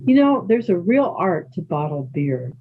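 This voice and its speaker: Woman acting as older yoga teacher lady, calm n chatty, asks gentle questions, sounds like a phone line. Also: lowish voice, unhurried, husky, heavily acted. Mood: musing. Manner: conversational, gently probing with sideways questions. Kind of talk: readers theater dialogue. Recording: thin phone-like band-limited sound.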